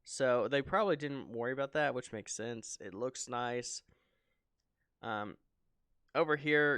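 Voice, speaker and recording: A man casually commenting on a flight simulator showcase in a relaxed, rambling way, full of ums, his voice slightly raspy. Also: mid-range voice, no echo, studio quality. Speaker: flight sim video host.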